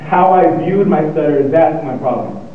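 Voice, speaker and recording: Student speaker, young man, stuttering delivery, slightly high voice, heard from far off in a reverberant hall. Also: animated, slight background noise. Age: young adult. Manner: stuttering, pausing and repeating words. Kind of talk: motivational speech. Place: lecture hall. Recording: echoey hall, tinny and far off.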